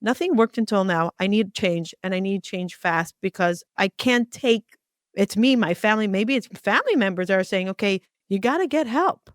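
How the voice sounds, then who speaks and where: A woman hosting an interview, who asks probing questions and pushes back to make points clear. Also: medium pitch, expressive, moderate pace, dry recording, clean studio sound. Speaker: interviewer, host.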